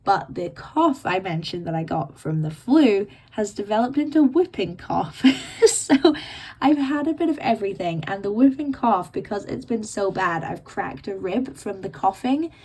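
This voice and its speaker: A woman in her late twenties hosting her own podcast, rambling and self-mocking in casual chat, her voice croaky and bunged up from illness. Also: mid-range voice, animated, moderate pace, warm tone. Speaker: podcast host. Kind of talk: casual chatty monologue.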